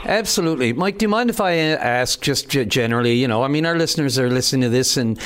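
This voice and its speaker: A man's voice: mid-range voice, lively intonation, fast talker. A man hosting a radio call-in show, speaking with friendly, affirming interjections.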